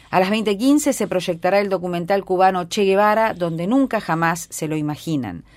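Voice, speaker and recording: A woman's voice: mid-range voice, no room sound. Woman reading a radio announcement.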